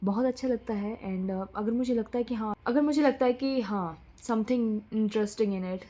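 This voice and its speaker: A woman's voice: fairly high pitch, measured pace, dark tone. Chatty young woman vlogger. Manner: chatty, mixes English into Hindi, rambling. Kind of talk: casual chat to camera.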